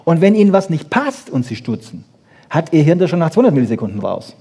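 Male academic lecturer, husky and mellow, colloquial and emphatic, talking to an audience. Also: a little high, fast talker. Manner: emphatic, animated, rhetorical questions and frequent tag "ja?". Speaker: lecturer in brain research.

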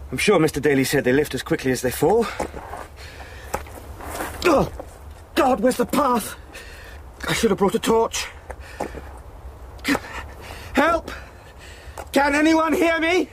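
Young English solicitor, frightened, panicky radio drama, extremely high pitch, slow broken phrases. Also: male voice, big pitch swings, a little hiss or hum, full character voice. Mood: desperate, calling out. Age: young adult. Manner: halting, panicky, exclamatory. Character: frightened young male solicitor lost in marsh fog. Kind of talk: radio play acting.

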